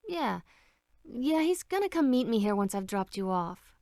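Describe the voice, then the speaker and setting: A woman's voice: fairly high pitch, sing-song, moderate pace, darkish tone, no echo, clean studio sound. An actress playing a chatty, reassuring young woman in a video game cutscene.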